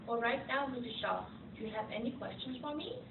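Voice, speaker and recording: A woman playing a patient, reassuring nurse in an educational puppet show, speaking in a formal, deliberate, instructive way. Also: on the high side, lively intonation. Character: patient, reassuring nurse explaining illness to a family. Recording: tinny, roomy, distant, noisy amateur recording.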